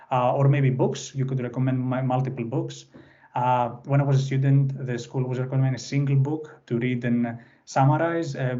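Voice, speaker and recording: A man's voice: medium pitch, dark tone, muffled recording. A young man whose first language is Greek, speaking English with a Greek accent, presenting an online workshop in an unscripted way with many "uh" fillers and restarts. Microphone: computer mic on a video call.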